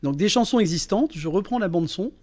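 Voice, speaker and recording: A man in his fifties from northern France sits as a podcast guest and talks about his working life. His voice sits a little high for a man. He hesitates often and fills the gaps as he goes. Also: animated, brisk, darkish tone. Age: 50s. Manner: hesitant, many fillers and restarts. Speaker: podcast guest, entrepreneur and performer. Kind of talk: casual interview talk.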